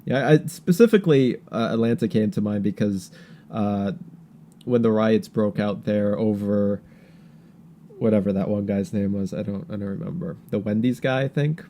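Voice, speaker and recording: A man hosting a podcast with casual political commentary, talking in a halting, rambling way with stammered repeats. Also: on the low side, dark tone, slight background noise. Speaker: podcast host.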